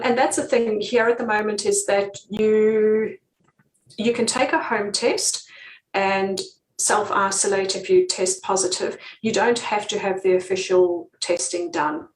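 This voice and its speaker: A woman's voice: medium pitch, fairly even intonation, takes it slowly, slight background noise. Brief: British woman, relocation consultant, easygoing live-stream chat, echoey room. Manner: chatty, many ums, laughs easily. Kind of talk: live-stream advice reading viewer comments.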